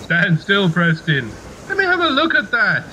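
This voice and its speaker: Guy playing british navy captain in radio play, polite n flustered, highish voice, noisy recording. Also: huge pitch swings, normal speed. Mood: affectionate, sheepish. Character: polite, flustered English naval captain, affectionate husband.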